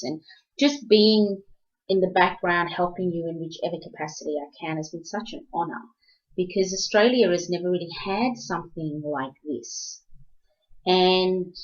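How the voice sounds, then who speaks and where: A woman's voice: lowish voice, lo-fi sound. A woman from South Africa hosting an interview, talking casually with lots of "um" and "you know" and restarting her sentences. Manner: rambling, many fillers and restarts. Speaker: host.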